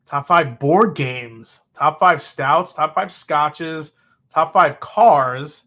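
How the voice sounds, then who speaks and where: Host, male, 40s: excitable, chatty live-stream talker with a somewhat high, bright voice. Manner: chatty and excitable, thinks aloud, quick exclamations. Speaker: host of a whiskey tasting show. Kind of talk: casual live tasting chat, reading viewer comments.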